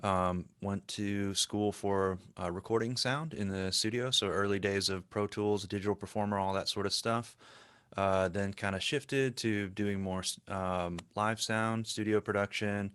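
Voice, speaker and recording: A man's voice: on the low side, steady tone, measured pace, fairly bright tone, clean tone. A man in his forties introducing himself casually and a little hesitantly into a close microphone. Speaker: panelist, production sound worker. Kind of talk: self-introduction, casual talk. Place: indoor sound-treated room, on a video call. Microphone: close desk microphone.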